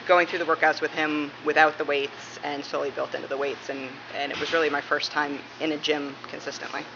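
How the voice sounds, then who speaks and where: Woman, gravelly creak, casual interview answers, dull recording with noticeable background noise. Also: low-pitched, flat delivery, brisk. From Massachusetts, United States. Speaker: interviewee, police dispatcher. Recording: muffled, clearly noisy room recording.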